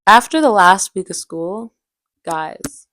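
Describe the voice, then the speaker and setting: A young woman telling personal stories in a casual vlog style, with an animated, slangy, playfully dramatic way of talking. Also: medium pitch, takes it slowly, poor audio. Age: young adult. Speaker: vlogger and aspiring actress. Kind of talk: casual chatty vlog storytelling.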